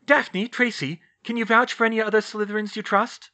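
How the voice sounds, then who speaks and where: A man's voice: high-pitched, fairly even intonation, a little fast, pushed and bright, voice pushed up. A man voicing an earnest, determined young woman student in an audiobook. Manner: insistent, earnest.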